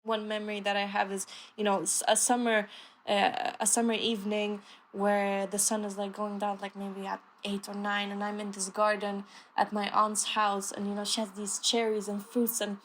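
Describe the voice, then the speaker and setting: A woman recalls a fond memory dreamily, filling her pauses with fillers. Her voice stays even and flat. Faint noise is heard behind her. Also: mid-range voice. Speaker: interviewee.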